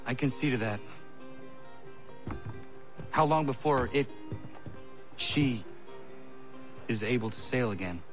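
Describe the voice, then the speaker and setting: A man's voice: medium pitch, slow pace, long pauses, lightly acted. An actor playing an earnest, serious young man in a video game, blunt and sparing with words. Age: young adult. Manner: terse, plain, solemn. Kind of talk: video game cutscene acting. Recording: music behind the voice. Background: music.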